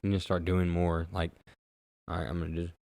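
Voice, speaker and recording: A young man with a very low voice talks in a laid-back, slangy, teasing way on a podcast. His voice comes through close and dry, with no echo. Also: little pitch movement, quick pace, creaky, a little mellow. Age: young adult. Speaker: podcast co-host. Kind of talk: casual chat.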